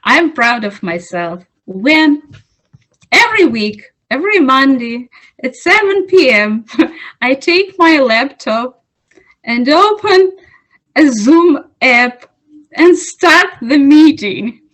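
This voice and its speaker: A woman's voice: high voice, sing-song, takes it slowly, a bit bright, poor audio. A woman from Russia with a Russian accent, answering interview questions as a club president, earnest and searching for words.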